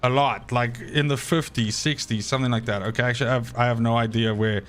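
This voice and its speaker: A man's voice: mid-range voice, steady tone, fast, faint music behind. A man, an Afrikaans speaker, rambling and swearing freely as he streams a game and talks to his chat. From South Africa. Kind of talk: live gameplay stream chat.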